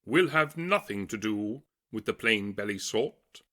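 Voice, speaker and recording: A man's voice: fairly low pitch, animated, a little slow, sharp tone. A man voicing snooty, boastful creatures in a storybook, with a haughty, exclamatory way of talking. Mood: haughty bragging.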